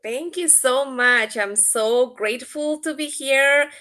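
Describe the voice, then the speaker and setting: A woman in her forties from Colombia, a guest on an interview podcast, speaking in an earnest, grateful, measured way. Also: sits high, bright, forceful tone. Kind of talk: interview answers.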